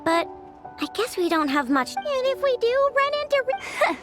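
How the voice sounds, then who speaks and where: A tiny, childlike fairy companion from a video game, chatters in an extremely high, squeaky voice. The intonation bounces widely and expressively, over faint background music. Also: female voice, big pitch swings, normal speed. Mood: worried.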